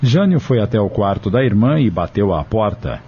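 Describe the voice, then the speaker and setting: A man's voice: on the low side, sing-song, normal speed, breathy edge, highs cut off. A Brazilian man reading scripted narration for an audio novel.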